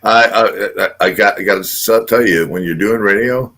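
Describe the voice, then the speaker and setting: Older male host, very low voice, casual and rambling with lots of fillers. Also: normal speed, sharp tone. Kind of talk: casual radio show hosting.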